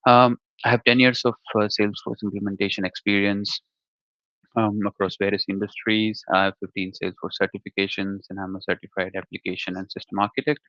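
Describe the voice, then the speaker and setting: A man's voice: on the low side, level tone, fairly quick, pushed and bright, no room sound, muffled recording. A man from India hosting a virtual developer session, introducing himself and the speaker with many hesitant fillers. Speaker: developer group leader and host. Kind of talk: webinar introduction.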